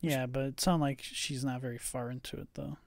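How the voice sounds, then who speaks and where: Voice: medium pitch, steady tone, fairly quick, creaky, dry recording. A podcast co-host in a casual film review chat who often hedges and trails off. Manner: hedging, trails off with "I don't know".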